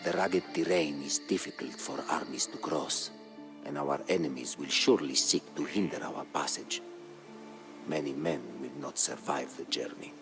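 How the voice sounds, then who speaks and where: An actor playing an aged, solemn chronicler of military campaigns, narrating a game storyline in a grave, measured way. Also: male voice, very deep voice, creaky, music in the background. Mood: solemn resolve. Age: older. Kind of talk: dramatic scripted storyline narration.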